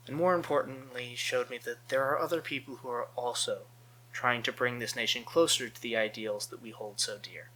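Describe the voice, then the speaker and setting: An American man giving earnest political commentary as a channel host, phrasing his points deliberately and with emphasis. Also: mid-range voice, steady tone, bright, forceful tone, a little hiss or hum, low-quality recording.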